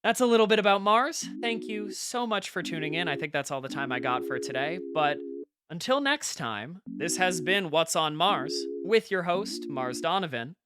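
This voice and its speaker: Paranoid conspiracy youtuber guy, late 20s, chatty and wry, super animated pitch, audio drama vlog style. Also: moderate pace, gravelly. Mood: earnest. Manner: chatty, wry, emphatic, swearing. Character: excitable paranoid conspiracy vlogger, man nearly thirty. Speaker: host of a conspiracy video channel. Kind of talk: scripted audio drama monologue as a casual vlog.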